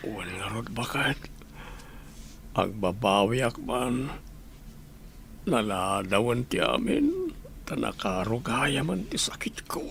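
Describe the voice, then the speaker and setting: A man's voice: medium pitch, sing-song, slow, vocal fry, pauses often, a bit bright, slight background noise, exaggerated voice. An actor with an Ilocano accent playing an anguished, regretful sick man in a radio drama, pained and pleading.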